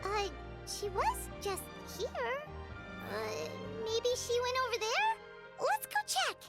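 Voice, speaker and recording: A tiny floating game companion with a very high, squeaky voice that bounces up and down constantly. She is chatty, excitable and quick to take offense, and game music plays behind her. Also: full character voice. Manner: speaks of herself in the third person, indignant and chatty. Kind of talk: video game voice acting.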